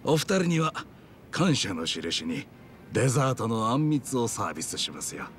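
A man's voice: mid-range voice, big pitch swings, a bit bright, slight background noise. An actor playing a courteous, composed male chef of a traditional restaurant in a Japanese game dub, speaking politely and with measure. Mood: genial. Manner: measured, polite shopkeeper speech.

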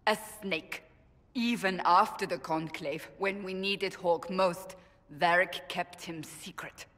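A woman's voice: fairly low pitch, steady tone, slow pace, fairly bright tone. A woman playing a fierce, devout, hot-tempered warrior in a video game, speaking with formal intensity and blaming herself. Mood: angry, accusing. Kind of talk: dramatic video game acting. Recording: long hall reverb.

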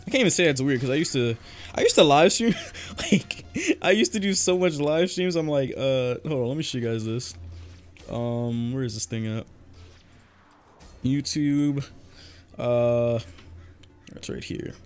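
Young man streaming, voice wearing out. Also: mid-range voice. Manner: loose, joking, chatty with viewers, laughs often. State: voice getting worn from long talking. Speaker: game streamer. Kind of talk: casual live-stream chat while gaming.

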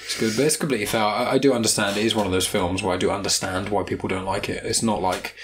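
A man chats casually as a podcast host. His voice sits a little low and he talks quickly, with a joking, teasing manner. There is faint noise behind him. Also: steady tone. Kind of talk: casual film review chat.

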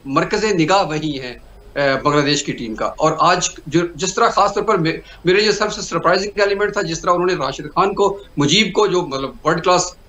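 A man giving cricket analysis over a video call, speaking in a measured way with hesitant fillers. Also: a little high, fairly bright tone. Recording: roomy video call audio with faint hum.

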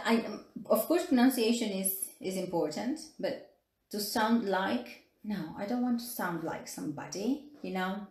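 A woman's voice: mid-range voice, reverberant. Chatty Peruvian woman teaching English. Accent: Peruvian Spanish. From Peru. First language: Spanish. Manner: chatty, laughs often, many fillers and tag questions. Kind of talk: casual live-stream teaching. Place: small room indoors.

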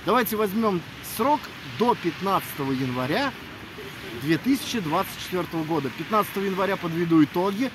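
Guy in his 30s chatting to camera outside, highish voice, emphatic, street noise behind. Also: animated, brisk. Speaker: audiobook narrator addressing listeners. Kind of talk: casual video greeting to followers. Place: outdoors in a snowy city square at night. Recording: noisy outdoor city square, traffic ambience.

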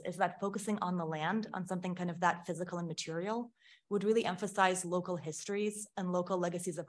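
A middle-aged woman from Ukraine, a film scholar giving an academic panel talk in a lecture hall, thoughtful and full of small self-corrections. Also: on the low side.

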